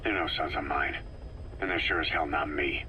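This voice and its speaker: A hardened soldier commander in a video game tape, answering in short, grim, clipped lines. His voice sits extremely low with a forceful, hard-edged tone that carries through a faint hiss behind it. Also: steady tone, normal speed, exaggerated voice, poor audio.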